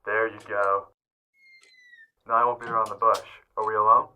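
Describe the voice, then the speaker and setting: A man acting in a radio drama as a taunting, persuasive criminal ringleader with a husky voice and theatrical delivery. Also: lowish voice, flat delivery, moderate pace, pushed and bright. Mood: brisk, teasing. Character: smooth-talking, menacing male criminal ringleader, needling and bossy.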